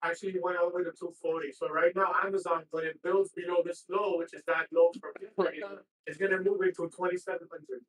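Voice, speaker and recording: A man's voice: high-pitched, level tone, fast talker, fairly bright tone, roomy sound, recorded from a distance, poor audio. A man giving casual market commentary on a trading stream, dropping dry sarcastic remarks.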